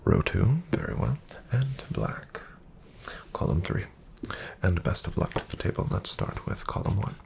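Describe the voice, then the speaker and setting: A man playing a courteous, composed casino dealer in a soft-spoken ASMR roleplay, with formal phrasing and a breathy near-whisper. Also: sits low, animated, a little hiss or hum, full character voice. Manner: formal, polite, measured phrasing.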